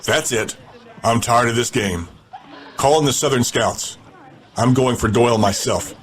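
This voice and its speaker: A man's voice: medium pitch, a little slow, natural voice. A man playing a ruthless, confident gang leader in an audio drama, commanding and menacing. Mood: resolute.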